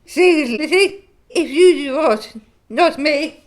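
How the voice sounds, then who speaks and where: A woman playing a blunt, loyal young care home resident in an audio drama, speaking plainly and haltingly. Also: sits high, a little hiss or hum. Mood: plain, excitable.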